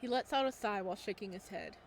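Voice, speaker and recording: A woman's voice: medium pitch, fast talker, slight background noise. A woman reading a visual novel aloud expressively as a let's-player, with casual asides, on a close home microphone.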